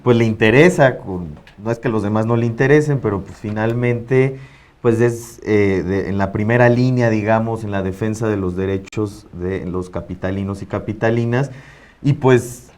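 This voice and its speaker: A male co-host with a Mexican accent and a somewhat low voice. He gives news commentary in a studio conversation. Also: moderate pace, darkish tone.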